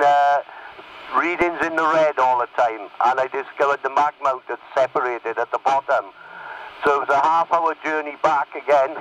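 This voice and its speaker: A man's voice: medium pitch, dull audio. A man chatting casually as an amateur operator over CB radio. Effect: CB radio transmission. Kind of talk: casual radio net chat. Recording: noisy, distant CB radio signal.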